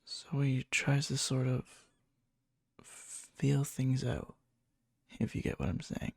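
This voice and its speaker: A man playing a needy, lovesick young best friend in an ASMR roleplay, speaking softly and hesitantly with a breathy, often whispered murmur and nervous stammers. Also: mid-range voice, slow, no echo, clean studio sound. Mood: lovesick, anxious. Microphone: close microphone, dry.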